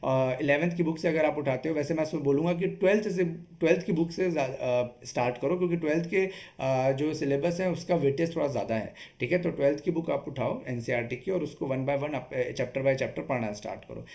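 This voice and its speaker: A man with a voice pitched a little higher than most men. He talks like a coach laying out a study strategy, explaining each step and punctuating it with short check-in tags. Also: fairly quick, creaky, few pauses, darkish tone. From Chhattisgarh, India. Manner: explanatory, often checks in with short tag questions. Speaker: exam coach. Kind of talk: instructional exam-strategy talk.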